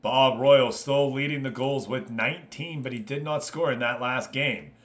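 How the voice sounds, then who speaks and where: A man's voice: mid-range voice, moderate pace, a bit bright. A man hosting an online football management game league, chatting casually and informally with his viewers as he commentates.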